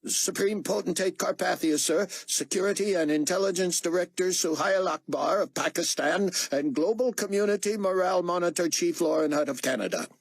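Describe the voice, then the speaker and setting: A man's voice: high voice, moderate pace, creaky, few pauses, breathy edge, dry recording, voice pushed up, full character voice. A man playing a nervous, obsequious aide to a tyrant in an audiobook, hesitant and apologetic in manner. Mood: formal, announcing. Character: flustered, deferential male aide to a tyrant.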